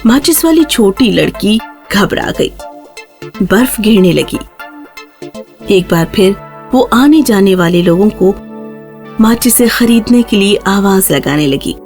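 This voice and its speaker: A woman's voice: medium pitch, animated, long pauses, a little mellow, faint music behind. A woman narrating a scripted fairy tale for children as the storyteller.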